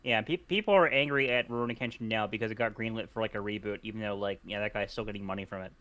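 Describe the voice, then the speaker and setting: Male co-host, slightly deep, halting and slangy, casual joker who swears. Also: a bit bright. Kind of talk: casual joking banter.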